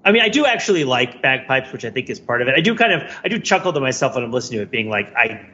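A man's voice: mid-range voice, very animated, racing, sharp tone, lo-fi sound. A man talking casually as a podcast co-host in a rambling, self-deprecating, wry way. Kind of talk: casual conversational podcast chat.

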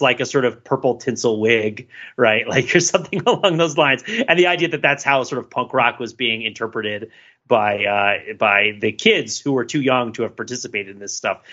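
A man from the United States chats on a podcast with a bright, forward tone. He is lively and laughs easily, stacking fillers and restarts as he thinks aloud. The audio is a little dull and lo-fi. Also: medium pitch. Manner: animated, laughs often, many fillers and restarts. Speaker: podcast co-host. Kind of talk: casual chat.

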